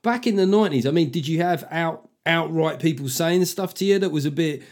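A man's voice: on the high side, brisk. A man with a London accent hosting a casual podcast interview, asking thoughtful, probing questions with loose restarts. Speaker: podcast host.

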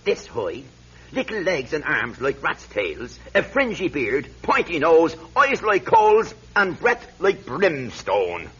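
An actor playing a dramatic man in a radio drama, describing things in slow, drawn-out phrases over a muffled, humming recording. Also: on the high side, wildly swooping pitch, sharp tone, husky, heavily acted. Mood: intense, spooked.

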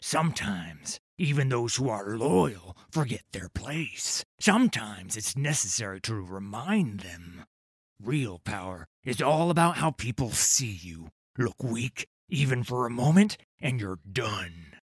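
A man's voice: medium pitch, very animated, takes it slowly, breathy edge, no room sound, exaggerated voice. A man voicing a cold, menacing pirate captain. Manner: quiet, controlled menace. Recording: dry studio recording.

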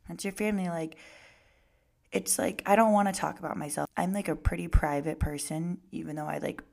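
Raspy, low-voiced young woman podcaster. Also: steady tone, brisk, a little mellow. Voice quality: raspy, hoarse today. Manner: chatty, lots of "like" fillers. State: hoarse from singing and screaming. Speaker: podcast host. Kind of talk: casual solo podcast talk.

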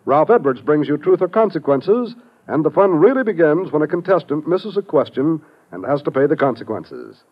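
Animated, higher-voiced American radio announcer man. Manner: polished, formal. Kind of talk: 1950s network radio announcing, scripted. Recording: muffled vintage radio recording.

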